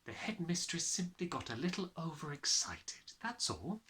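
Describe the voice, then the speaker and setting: A man voicing a gentle, patient woman schoolteacher in a storybook, speaking softly and carefully. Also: a little high, big pitch swings, moderate pace, voice pushed up. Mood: gentle, reassuring. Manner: soft, careful, soothing.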